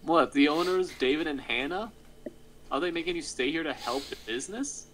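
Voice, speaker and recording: Man playing high-voiced Bryce, noisy background. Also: expressive, normal speed, fairly bright tone. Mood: questioning. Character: earnest monster investigator called Bryce. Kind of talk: amateur script table read.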